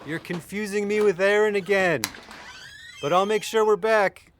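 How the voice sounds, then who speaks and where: A man's voice: sits high, steady tone, normal speed, noisy background, lightly acted. A man playing a supportive, mild-mannered college student in his early twenties in an audio drama. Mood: casual. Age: young adult.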